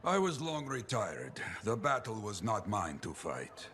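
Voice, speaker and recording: Old retired merc handler in a video game, clipped talk, lively swings in pitch, sharp voice. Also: male voice, a little slow, heavily acted. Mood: weary, wry. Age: older. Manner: clipped phrasing with frequent check questions. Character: gruff, weathered old retired freelancer mentor, male.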